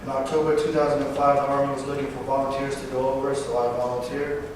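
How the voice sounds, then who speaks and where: A man in his twenties from Northern California, a veteran giving a personal talk, halting at times with fillers and self-corrections. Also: medium pitch, flat delivery. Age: 20s. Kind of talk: personal speech read to an audience. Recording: distant, echoey hall recording with background noise.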